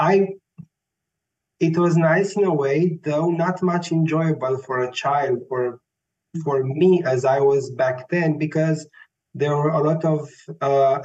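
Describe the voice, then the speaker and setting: A man in his thirties with a Romanian accent, a classical tenor answering interview questions slowly and thoughtfully, often searching for words. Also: fairly high pitch, darkish tone. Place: Vienna. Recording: dull lo-fi sound, faint music.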